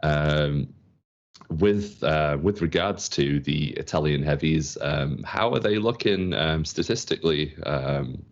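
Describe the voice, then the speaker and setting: Very deep-voiced Manchester man, chatty. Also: slow pace. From England. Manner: chatty, laughs often, filler-heavy. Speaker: community manager co-host. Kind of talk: casual livestream chat. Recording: dull lo-fi stream audio.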